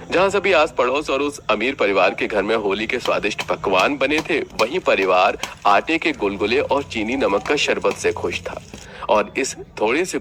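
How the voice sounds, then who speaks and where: A man's voice: lowish voice, fairly bright tone. A man narrating a scripted children's story as the storyteller.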